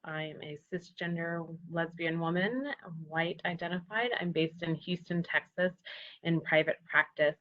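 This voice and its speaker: A woman psychologist introducing herself in a webinar, measured with some fillers. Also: fairly low pitch. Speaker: psychologist in private practice. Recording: dull lo-fi call audio.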